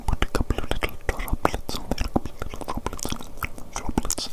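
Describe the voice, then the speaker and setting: A woman playing a gentle, playfully sinister evil doctor in an ASMR medical roleplay, whispering breathily and soothingly and repeating phrases. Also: a little fast, natural voice. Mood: gentle, attentive.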